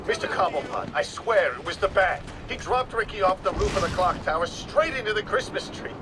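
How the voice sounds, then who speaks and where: A man's voice: high-pitched, lively intonation, brisk, background noise. An actor playing a frightened, pleading henchman in a video game, nervous and deferential.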